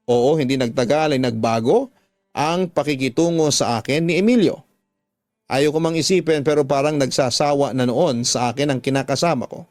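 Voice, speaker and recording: Casting: male storyteller for sentimental radio drama, emotive first-person delivery, close dry sound over soft music. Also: a little high, no echo. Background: faint music.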